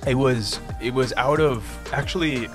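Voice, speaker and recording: A man's voice: medium pitch, normal speed. A man in his twenties with an American accent, talking casually as a radio interview guest. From United States. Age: 20s. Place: small radio studio. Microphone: close studio microphone. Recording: music behind the voice.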